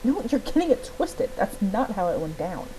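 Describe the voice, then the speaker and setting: A woman's voice: medium pitch, very animated, moderate pace, warm tone, close to an everyday voice. A woman voicing a feisty, protective young woman rider in a fantasy game, blunt and defiant, on a noisy recording.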